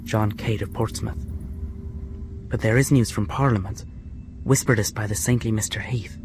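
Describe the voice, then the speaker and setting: An actor playing an anxious, devoted young prisoner, a son, in a radio drama. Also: male voice, fairly low pitch, steady tone, moderate pace, noisy background, natural voice. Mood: somber.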